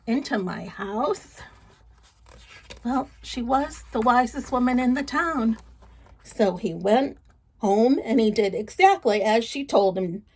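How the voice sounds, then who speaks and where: A woman reading a children's story aloud, gentle and expressive, chatting to her young listeners as she goes. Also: a little high, a little slow.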